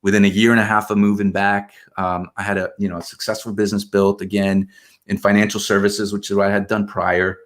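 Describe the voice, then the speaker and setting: Man, 30s, from Argentina. Podcast guest. Lowish voice, easygoing storyteller, casual fillers throughout. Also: a little fast. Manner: relaxed storytelling, many fillers like "man" and "you know". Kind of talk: casual conversational interview.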